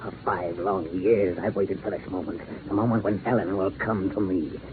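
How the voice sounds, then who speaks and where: An actor playing a squat, frog-faced gangster villain, obsessed and vengeful, who talks with gloating, deliberate menace in 1940s radio style. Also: male voice, deep voice, fairly even intonation, lightly acted. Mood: bitter, menacing. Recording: noisy narrow-band 1940s radio recording.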